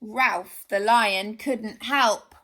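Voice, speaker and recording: A woman reading a children's picture book aloud, expressive and gently dramatic. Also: medium pitch, very slow. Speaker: storyteller.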